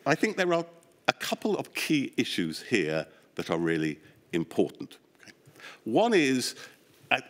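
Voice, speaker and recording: A man giving expert testimony at a public inquiry, explaining at length in an animated, discursive way with wry asides. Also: medium pitch. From England. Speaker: expert witness, academic psychologist. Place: public inquiry hearing room.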